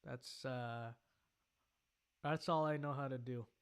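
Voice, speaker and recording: A young American man hosting a casual livestream, chatting and reading out viewer comments in a halting way that often repeats phrases. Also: medium pitch, steady tone, smooth. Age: young adult. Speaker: livestream host.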